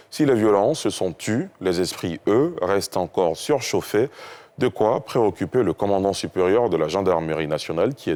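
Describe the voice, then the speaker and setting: A man's voice: sits low, a little mellow. A man presenting television news, reading a scripted introduction to a report.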